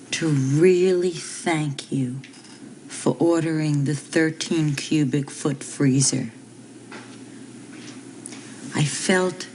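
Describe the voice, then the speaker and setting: An actress playing a wife who speaks softly and hesitantly in bed. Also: female voice, sits low, a little hiss or hum. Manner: hesitant, soft-spoken.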